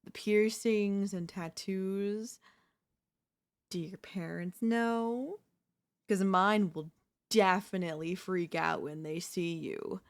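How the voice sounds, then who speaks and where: A woman playing a teasing, possessive young woman in an ASMR roleplay, with a smug, coy, drawn-out delivery. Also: mid-range voice. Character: teasing, possessive young woman, former childhood bully next door.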